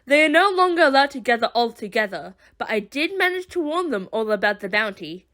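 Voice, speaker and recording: A woman voicing an excitable, exasperated heroine in an audio drama, with exclamatory, sarcastic complaints. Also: fairly high pitch, a little fast. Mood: matter-of-fact. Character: exasperated, excitable woman who teleports with gadgets. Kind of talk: amateur audio drama acting.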